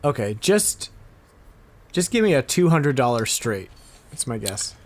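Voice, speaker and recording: A man's voice: mid-range voice, very animated, a little slow, slight background noise. A man co-hosting a casual podcast, chatting playfully and sometimes muttering to himself in mock exasperation. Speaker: podcast co-host. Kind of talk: casual podcast chat about retro games.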